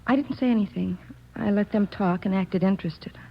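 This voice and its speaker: On a muffled old radio recording, a young American office girl. She is polite and earnest but guarded, defending herself plainly in a mid-pitched voice, in 1950s crime drama style. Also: a little fast, vocal fry. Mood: anxious, confiding. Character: guarded, earnest young female office worker under questioning.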